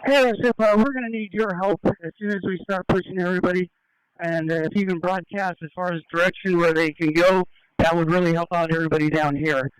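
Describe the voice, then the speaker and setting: A man's voice: high-pitched, fairly even intonation, a little fast, clear, resonant tone, no room sound, low-quality recording. A male police officer giving instructions over the radio, heard on a scanner.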